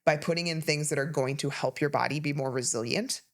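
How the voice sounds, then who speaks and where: A woman in her thirties co-hosting a health podcast, talking casually and listing symptoms rapidly with emphasis. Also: sits low. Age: 30s. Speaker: podcast co-host, health coach.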